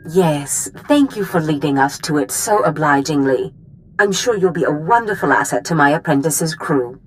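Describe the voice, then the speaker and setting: A woman's voice: low voice, lively intonation, moderate pace, a little hiss or hum, rough recording. A woman playing a haughty Sith master in a video game, speaking formally and with condescension to those beneath her. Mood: smooth, commanding.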